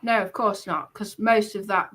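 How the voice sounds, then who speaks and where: A woman argues her point in a casual debate. Her voice is bright and forceful at an ordinary pitch. She is insistent, often saying a phrase over again. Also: fairly even intonation, moderate pace, breathy edge.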